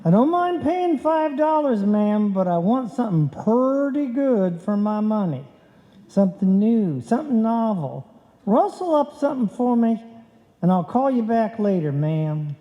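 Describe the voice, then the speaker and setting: A woman's voice: a little high, very animated, measured pace. A woman playing a man who fakes a folksy cowboy customer in an old-time radio comedy. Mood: folksy, stubborn. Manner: folksy western talk. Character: husband faking a folksy cowboy customer on the phone. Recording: muffled, reverberant hall.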